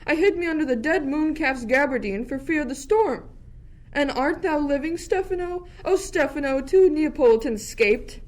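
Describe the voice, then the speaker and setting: A woman's voice: high voice, lively intonation, moderate pace. A woman playing a jittery, inquisitive shipwrecked jester in a theatrical Shakespearean radio play. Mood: relieved. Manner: theatrical, musing in archaic prose.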